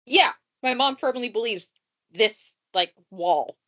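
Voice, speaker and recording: A woman's voice: a little high, animated, slow, a bit bright. A congested young woman co-hosting a podcast, chatting casually, teasing and swearing freely. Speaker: podcast co-host. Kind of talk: casual chat. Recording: muffled lo-fi recording.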